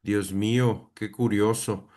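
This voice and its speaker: A man reading the part of a formal, timid middle-class gentleman aloud from a play script, in a classroom reading. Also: lowish voice, fairly even intonation, slow pace. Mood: polite, puzzled.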